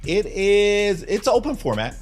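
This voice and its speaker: A man's voice: high voice, very animated, measured pace, a little mellow, faint music behind. A man who works as a DJ, talking casually with slangy banter. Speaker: DJ on a podcast. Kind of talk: casual chat.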